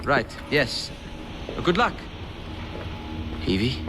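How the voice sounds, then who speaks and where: A man playing a polite, reserved gentleman in a video game, slightly hesitant. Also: mid-range voice, very animated, drawn-out words. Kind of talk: video game dialogue acting. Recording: noisy street ambience behind.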